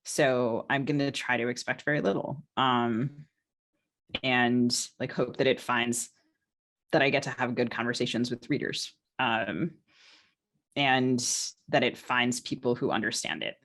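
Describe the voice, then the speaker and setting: A woman's voice: extremely low pitch, unhurried, a bit bright. A woman talking casually as a podcast co-host and novelist, informal and self-deprecating, often feeling her way toward a phrase. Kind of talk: casual podcast conversation about writing.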